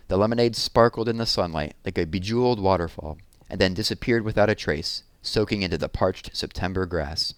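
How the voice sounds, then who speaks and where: Male read-aloud narrator: deep register, dry sound, expressive delivery, deliberate stress on dramatic words. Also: smooth, no room sound.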